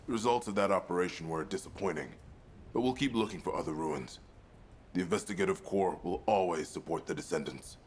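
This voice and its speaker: A man playing a steady lieutenant of a sci-fi investigative corps in a video game. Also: low voice, a little fast, slight background noise, close to an everyday voice. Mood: businesslike. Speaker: military officer escorting the player.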